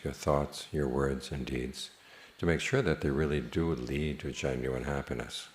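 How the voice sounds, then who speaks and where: A man teaching a guided meditation in a measured, plain-spoken manner, leaving long pauses between phrases. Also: very deep voice, slight background noise.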